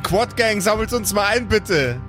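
A man's voice: high voice, animated, measured pace. A man playing in an improvised tabletop audio show with casual banter. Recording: light music bed behind the voice.

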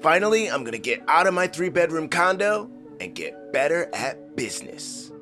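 A man's voice: a little high, sing-song, moderate pace, pushed and bright, faint music behind. An actor playing a gullible, self-satisfied man with a naive, earnest delivery in a comedy-horror radio play.

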